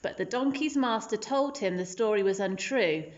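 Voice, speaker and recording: A woman's voice: medium pitch, moderate pace. Woman reading a children's story, slightly dull sound.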